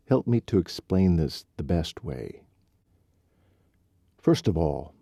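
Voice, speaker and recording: An earnest, patient young cowboy talking low and slow, as the narrator plays him in his own deep voice. The tone is dark and soft, very dry, close to the mic. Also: slightly rough, dry recording, dull audio, heavily acted.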